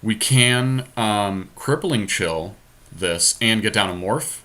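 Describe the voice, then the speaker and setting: A man with a fairly low voice and a bright, forward edge hosts a card game session. He thinks aloud casually, working through each choice in loose, slangy language. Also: slow pace. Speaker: podcast host playing a card game.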